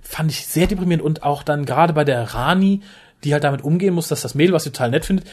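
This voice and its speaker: Podcast co-host, male, fast and animated, a bit higher than average, casual chat. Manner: animated, fast, many restarts and laughs.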